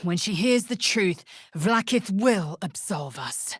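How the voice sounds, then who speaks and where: A woman voicing a fierce, proud young githyanki warrior in a video game, blunt and disdainful, with clipped, formal, archaic phrasing and a husky, breathy voice. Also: medium pitch, animated, takes it slowly, no room sound, clean studio sound. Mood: solemn resolve. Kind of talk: video game character voice lines.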